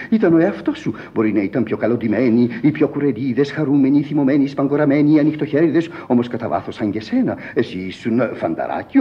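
A man's voice: medium pitch, close to an everyday voice. A world-weary ragpicker acted by a man, his voice swinging widely as he warns eloquently and ominously. It is emphatic radio-theatre storytelling on a dull old recording. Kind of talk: classic Greek radio theatre monologue.

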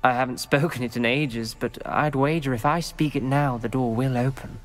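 A man's voice: medium pitch, fairly even intonation, normal speed, close to an everyday voice. British teenage wizard boy, male actor, formal, guarded, earnest, troubled, video game drama, music behind. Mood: reluctant. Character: troubled, principled teenage schoolboy wizard, wary of dark magic.

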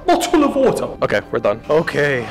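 A young man vlogging casually, rambling and swearing, getting loud and excited at times. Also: fairly high pitch, fairly quick, over music. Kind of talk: casual gym vlog talk. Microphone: camera mic.

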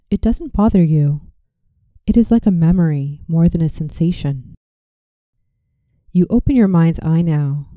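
A woman narrating a scripted guided visualization as a meditation guide, in a soothing, gentle and measured way. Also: on the low side, no room sound, dull audio.

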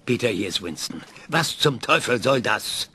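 An actor playing an older retired space scientist, halting in the interview and sharp and demanding on the phone in a radio play. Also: male voice, medium pitch, lively intonation, background noise, natural voice. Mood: indignant. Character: retired male scientist, gruff and indignant.

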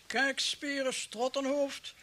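An actor playing an eccentric, pedantic professor in a radio play, brisk and self-assured. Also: male voice, very high-pitched, little pitch movement, pushed and bright, full character voice. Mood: pedantic, eager. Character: eccentric, eager male professor, a poison expert. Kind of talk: Dutch radio play acting.

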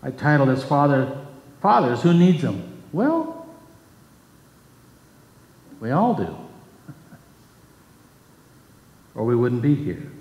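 A man's voice: medium pitch, very animated, darkish tone, muffled recording. Older man preaching in an echoey church. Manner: earnest, measured preaching with scripture readings. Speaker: pastor. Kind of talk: sermon. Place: church sanctuary, at a pulpit. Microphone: pulpit microphone, far camera. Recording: echoey church hall from far off.

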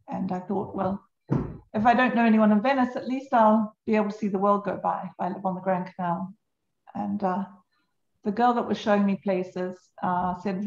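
A woman's voice: medium pitch, gravelly. An older woman from New Zealand telling her own story as an interview guest in conversation. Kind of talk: unscripted personal storytelling in conversation. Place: home on a canal in Venice. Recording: echoey room, slightly dull sound.

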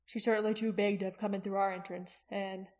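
Woman voicing a calm, measured young man. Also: mid-range voice, natural voice. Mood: wry, thoughtful. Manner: even, crooning, measured. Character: calm, steady young male dragon trainer, soothing.

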